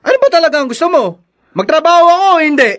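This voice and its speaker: A man's voice: very high voice, big pitch swings, very fast, a bit bright, full character voice. A man playing an irresponsible, hot-tempered, abusive young husband in a Tagalog radio drama, irritable and bullying. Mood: demanding, annoyed.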